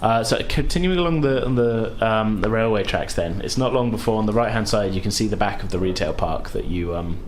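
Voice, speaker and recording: Low-voiced British man running the game. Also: lively intonation, a little hiss or hum. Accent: British English. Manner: unhurried, hesitant with frequent ums. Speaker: game master. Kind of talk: narrating a tabletop game.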